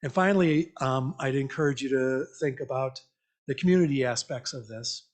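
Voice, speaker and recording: A man giving an informal, interactive church message in a conversational, reflective, halting way, often checking in with questions. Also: mid-range voice. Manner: invites listeners with questions. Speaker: lay church speaker.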